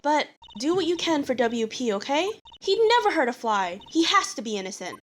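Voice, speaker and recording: A woman's voice: high-pitched, sing-song, moderate pace, a little hiss or hum. A woman voicing an earnest, helpful young female studio assistant in a game fan dub, polite and a little hesitant, with music behind. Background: background music.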